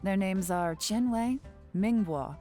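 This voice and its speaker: An actress playing a confident, playful covert operative in a video game, with a teasing, self-assured manner. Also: female voice, mid-range voice, slow, dark tone, faint music behind, lightly acted. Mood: businesslike.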